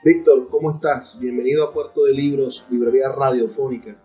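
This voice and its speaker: A man's voice: medium pitch, steady tone, fairly quick. A man with a Venezuelan accent hosting a conversational literary interview on a radio program. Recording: distant, muffled mic with music.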